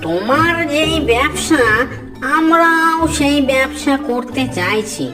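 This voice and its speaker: A woman's voice: sits high, expressive, slow. A woman voicing an eager, stubborn young village boy in a Bengali moral-story cartoon, polite and persuasive. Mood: insistent. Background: music.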